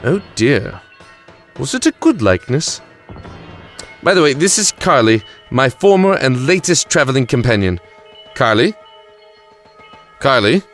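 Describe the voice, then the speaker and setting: Audio drama lead: composed, wry male ruler and narrator, played by a man, medium pitch, measured delivery. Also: sing-song, fairly bright tone, soft background music. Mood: wry command. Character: composed, wry male time-travelling lord recalling his past.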